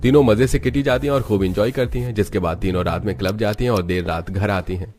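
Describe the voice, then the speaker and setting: A man's voice: fairly low pitch, quick pace, darkish tone. A man narrating a scripted family cartoon story. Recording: background music under the voice.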